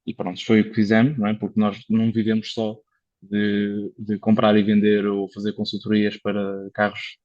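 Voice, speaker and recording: A man's voice: fairly low pitch, completely flat delivery, normal speed, darkish tone, no room sound, dull audio. A Portuguese man, a car consultant, talking casually as a podcast guest, explaining things at length with many fillers and restarts. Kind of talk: casual conversational interview.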